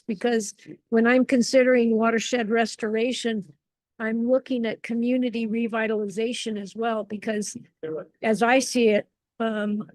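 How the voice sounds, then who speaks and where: Woman host, higher voice, dry close sound, halting moderator, video call. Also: a little mellow, no echo. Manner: halting, repeats and restarts words. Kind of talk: casual panel moderation.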